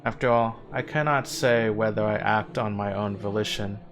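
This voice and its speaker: An actor playing the courteous, wistful spirit of an ancient man in a video game cutscene, speaking in formal, measured, gently wry phrases. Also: lowish voice, fairly even intonation, natural voice. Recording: game dialogue with music underneath. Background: background music.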